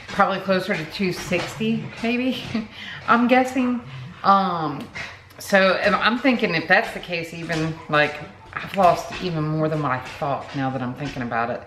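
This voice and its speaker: A woman in her late forties talks casually to camera with a slightly low voice, wandering through her story with plenty of fillers and asides. She is recorded in an echoey room at home, with clear background noise under her voice. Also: a bit bright. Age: 40s. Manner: chatty, rambling, many fillers and asides. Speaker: vlogger.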